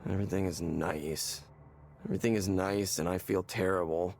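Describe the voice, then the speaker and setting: An actor playing a despairing, hollow man in a visual novel game, speaking haltingly and repeating words as he searches for them. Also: sits low, fairly even intonation, gravelly, darkish tone, slight background noise. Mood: self-loathing, gloomy. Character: despairing, hollow man confiding in a counseling session.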